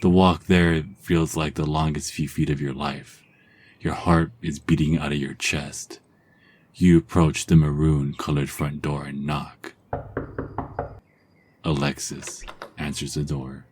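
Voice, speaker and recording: Very deep husky male narrator. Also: flat delivery, measured pace, darkish tone. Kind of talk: second-person ASMR story narration.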